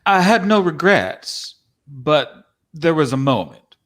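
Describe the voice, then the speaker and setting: A man's voice: on the high side, lively intonation, slow, fairly bright tone. Man playing a folksy, wistful old-time cowboy. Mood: wistful confiding. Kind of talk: dramatic script reading.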